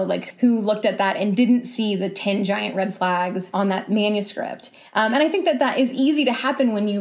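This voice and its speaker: A woman speaking as a guest in a podcast interview, reflective and explanatory, often pausing mid-phrase and softening points with casual qualifiers. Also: mid-range voice, gravelly. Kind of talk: thoughtful podcast interview conversation.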